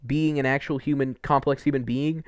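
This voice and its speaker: A man's voice: medium pitch, fairly even intonation, fairly quick, smooth, dry recording, clean studio sound. A man giving casual, unscripted, opinionated game commentary in a rambling, emphatic way. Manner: rambling, frequent "like" and mild swearing. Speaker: video creator reviewing game quests.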